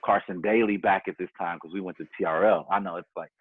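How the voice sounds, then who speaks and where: A man's voice: deep voice, steady tone, quick pace, husky. A man chatting casually as an interview guest, telling stories in a rambling, slangy, conversational way. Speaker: podcast guest, music performer. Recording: thin phone-like call, roomy echo.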